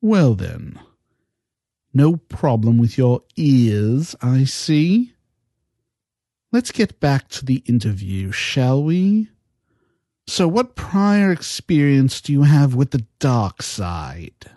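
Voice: medium pitch, sing-song, slow pace, dark tone, no echo, full character voice. A performer acting a jaded, impatient villainous interviewer with a curt, dry, formal manner in an amateur audio drama. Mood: sardonic.

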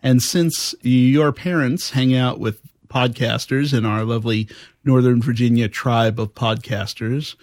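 A man hosting a casual podcast chat in a halting, conversational way. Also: mid-range voice, steady tone, darkish tone, dry recording. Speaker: podcast host and interviewer.

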